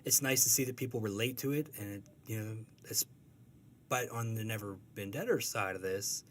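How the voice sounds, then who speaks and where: Low-voiced man chatting casually. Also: fairly bright tone, slight background noise. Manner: self-deprecating, joking, halting. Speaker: guest, comic strip artist. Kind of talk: casual interview chat.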